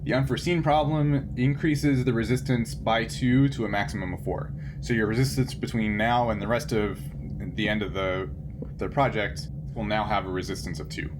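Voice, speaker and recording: A man's voice: fairly low pitch, fairly even intonation, normal speed, noisy background. A man running a tabletop roleplaying game as game master, patiently explaining the rules to his players.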